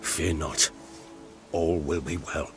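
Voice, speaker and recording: An actor playing a remorseful, rough middle-aged husband and father in a video game, speaking in a solemn, measured way. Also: rumbling low, steady tone. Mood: solemn, earnest. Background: game music.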